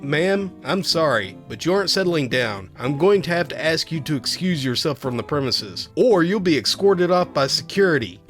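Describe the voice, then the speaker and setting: A man voicing a calm, authoritative male manager in a story, speaking firmly and formally. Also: fairly high pitch, normal speed, few pauses, soft background music. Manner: firm, formal, composed.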